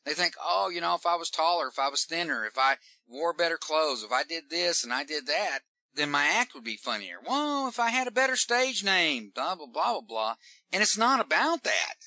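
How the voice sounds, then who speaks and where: A man with a Southern Texan accent, a comedian giving folksy, animated advice in an interview, often repeating words as he starts a sentence. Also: fairly high pitch, normal speed, no echo. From Texas. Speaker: stand-up comedian guest. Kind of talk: casual interview talk.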